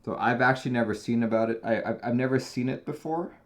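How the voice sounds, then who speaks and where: A man from Taiwan hosting a casual live stream, talking in a chatty, relaxed way with frequent small restarts. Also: mid-range voice, quick pace. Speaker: host.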